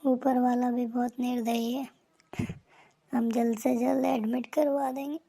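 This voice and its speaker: A man's voice: very high voice, level tone, a little slow, vocal fry, dark tone, exaggerated voice. An actor playing a frightened husband, anxiously begging the doctor for news about his wife. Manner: anxious, pleading. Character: anxious husband of a dying woman. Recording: faint music behind.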